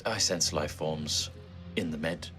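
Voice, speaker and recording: A man voicing a disembodied ship's computer, measured, polite and deadpan, in a tabletop game. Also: a bass voice, over music. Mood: flat, apologetic. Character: calm, apologetic ship computer, a disembodied artificial intelligence.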